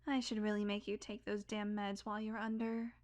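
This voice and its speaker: A woman's voice: medium pitch, level tone, a little mellow, close to an everyday voice. A woman playing a sardonic, blunt vampire who is guardedly caring and coaxing, in an intimate audio roleplay. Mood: wry, caring. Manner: sarcastic, blunt, coaxing, sighing, deliberate pauses.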